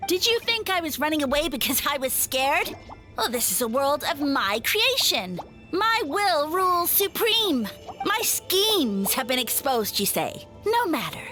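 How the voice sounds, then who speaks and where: A woman's voice: high-pitched, very animated, measured pace, pushed and bright, soft background music, full character voice. A woman voicing a pompous, scheming little male mushroom god in a video game, with grandiose theatrical villain delivery. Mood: grandiose, indignant.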